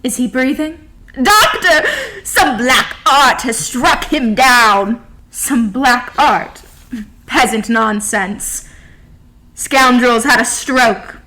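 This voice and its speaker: A woman playing a stern, dramatic older woman in a theatrical drama, imperious, emphatic and deliberate. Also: on the high side, lively intonation, sharp tone, slight background noise, exaggerated voice, low-quality recording. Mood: alarmed. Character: stern, bossy, dramatic grandmother running an inn. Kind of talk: theatrical stage acting.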